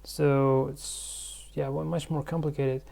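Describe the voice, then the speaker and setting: Male vlog host, gravelly mellow voice, casual rambling delivery full of fillers. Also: on the high side, level tone, takes it slowly. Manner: rambling, thinking aloud with many fillers. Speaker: channel host.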